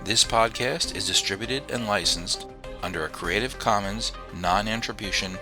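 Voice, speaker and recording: A man's voice: lowish voice, normal speed. A man reading scripted credits as a podcast announcer. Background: music.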